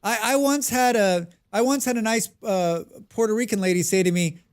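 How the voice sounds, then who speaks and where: A man's voice: high-pitched, moderate pace, smooth. An American man chatting casually with his viewers while playing a game on a live stream, reading and answering their comments. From United States.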